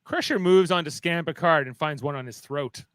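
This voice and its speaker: A man's voice: on the high side, normal speed, fairly bright tone, dry recording. A man narrating a radio-theatre script, reading out stage directions.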